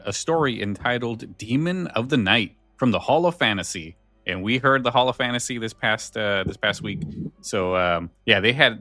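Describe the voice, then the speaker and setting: Bright-voiced low-pitched man hosting a podcast. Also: no room sound. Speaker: podcast co-host. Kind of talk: casual chat with read-out story summaries.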